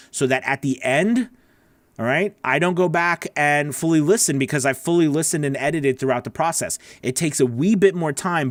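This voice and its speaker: A man's voice: on the high side, no room sound. A man hosting a casual livestream Q&A as a voice-over coach, rambling with many fillers and restarts.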